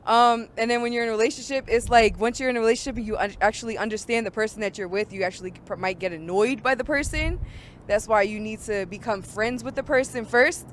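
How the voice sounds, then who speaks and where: A young woman gives halting, soft answers full of fillers to a street interviewer. Faint traffic sounds drift in behind her. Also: on the high side. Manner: hesitant, filler-heavy, soft-spoken. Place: outdoors on a city street.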